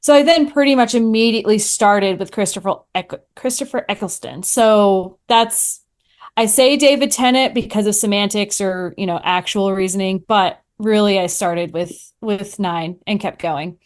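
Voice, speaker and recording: Female podcast guest, mid-pitched, wry and playful, relaxed conversational delivery. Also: moderate pace. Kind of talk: casual chat.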